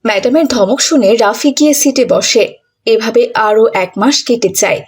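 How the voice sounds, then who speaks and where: A woman with a husky voice narrating a scripted children's story. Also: a little high, moderate pace.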